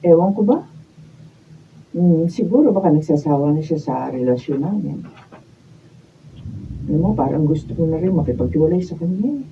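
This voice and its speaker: A woman's voice: low-pitched, expressive, moderate pace, pauses often, mellow. A woman with a Filipino accent acting a neglected, unhappy wife falling for a friend in a radio drama. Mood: aggrieved, chatty. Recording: dull raw video, distant mic, faint noise.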